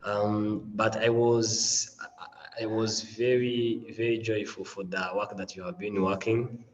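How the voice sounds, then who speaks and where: A man's voice: fairly low pitch, flat delivery, takes it slowly, big-room sound, muffled recording, slight background noise. A man with a mild flu presenting a learning module on a video call, upbeat, with many fillers and repeated phrases. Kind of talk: online teaching session.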